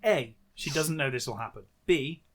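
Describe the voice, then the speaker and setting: A man's voice: a little high, lively intonation, normal speed, crowd noise. A man co-hosting a podcast, casually recapping a book with dry, sarcastic commentary. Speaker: podcast co-host.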